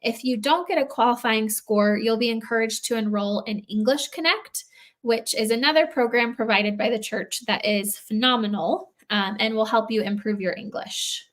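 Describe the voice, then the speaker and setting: A woman's voice: fairly high pitch, moderate pace. A woman on a live stream answering viewers' questions in a patient, explanatory way, with frequent filler words. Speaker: program staff answering questions.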